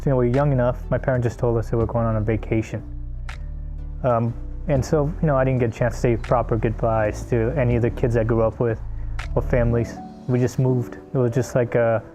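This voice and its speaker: A man's voice: medium pitch, dark tone. A man in his thirties from South Africa, telling his life story in a documentary interview, thoughtful and hesitant with many "uh"s and "you know"s. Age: 30s. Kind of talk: reflective personal storytelling.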